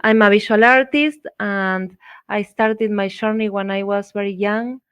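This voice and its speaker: Slow, clear-voiced Argentine woman. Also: medium pitch, steady tone, fairly bright tone, no room sound. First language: Spanish. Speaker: interview guest, visual artist. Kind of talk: conversational personal storytelling.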